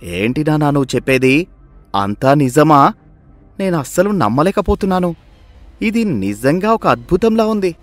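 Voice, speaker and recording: A man voicing a young adult son who questions his father eagerly and joins his scheme, for an animated story. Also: a little high, moderate pace, long pauses, no room sound, faint music behind. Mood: curious. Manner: inquisitive, eager.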